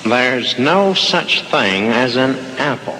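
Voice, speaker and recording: A man's voice: medium pitch, lively intonation, slow, sharp tone. A man playing an earnest, skeptical interviewer as the straight man in a 1960s radio comedy sketch. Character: earnest, skeptical male interviewer questioning an inventor. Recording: echoey old radio recording, faint noise.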